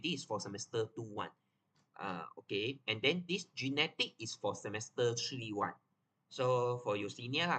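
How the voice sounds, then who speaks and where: Man, Malaysian English accent, young adult, bright tone, chatty tutor. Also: mid-range voice. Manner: chatty, repeats and restarts, ends phrases with "lah". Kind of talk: casual study-tips lesson.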